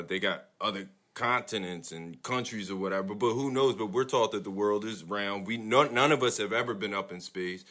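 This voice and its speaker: A man's voice: lowish voice, sharp tone. A man chatting casually as a podcast co-host, rambling and slangy, swearing freely.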